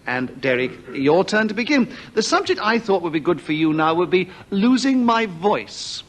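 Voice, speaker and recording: Brisk polite panel-show chairman. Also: sits high, big pitch swings, heavy background noise. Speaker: host and chairman. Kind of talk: radio panel-game chairing. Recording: 1980s radio broadcast, loud studio audience.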